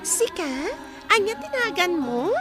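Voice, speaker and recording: A coy, high-voiced bar girl. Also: sing-song, measured pace, over loud music, full character voice. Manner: coy, teasing. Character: coy young female bar server. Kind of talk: radio comedy drama acting.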